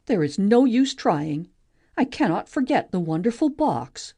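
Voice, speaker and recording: A woman playing a curious, highly animated maiden. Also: unhurried, husky, full character voice. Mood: wondering, eager. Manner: wondering, musing aloud. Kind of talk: amateur audiobook play reading, expressive.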